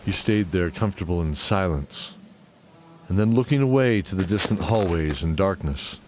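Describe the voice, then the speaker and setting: A man's voice: sits low, animated, normal speed, a little mellow. A man narrating a scripted fantasy audio drama. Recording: clear background noise and ambience.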